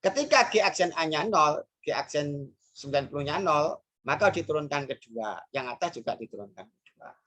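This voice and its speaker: A woman with a notably low voice explains a math lesson step by step. She asks rhetorical questions and fills her pauses with hesitant sounds. Her delivery is that of a teacher walking a class through worked examples. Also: expressive, fairly bright tone. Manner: talks through steps aloud, asks rhetorical questions, frequent fillers.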